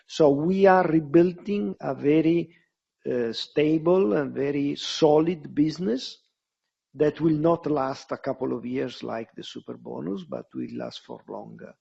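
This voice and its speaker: An Italian-accented male executive with a creaky, husky voice explains company results on a conference call. He is halting and deliberate, circling back over phrases. The tone is mellow and the audio slightly dull. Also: mid-range voice. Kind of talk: answering questions on an earnings call.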